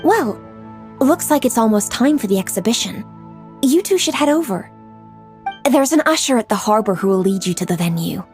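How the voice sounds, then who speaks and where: A woman's voice: fairly high pitch, animated, moderate pace, darkish tone, faint music behind. A woman playing a young, diligent female official in a video game, speaking in a composed, polite and formal way.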